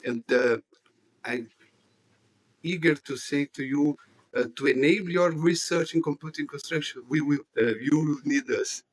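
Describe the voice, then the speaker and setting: A man addresses a conference audience, his speech broken up by frequent pauses and fillers. He sounds tired from jet lag, with a voice pitched a little high for a man. The sound is dry and close, with almost no room echo. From Chile. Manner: halting, many fillers, non-native phrasing. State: jet-lagged. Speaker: committee chair at a conference. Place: conference hall.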